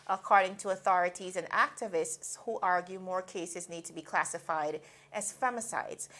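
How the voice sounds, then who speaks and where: A woman's voice: mid-range voice, a bit bright. A woman from Guyana anchoring a daily news roundup, reading stories and then discussing them earnestly with a co-host. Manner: earnest, with frequent fillers.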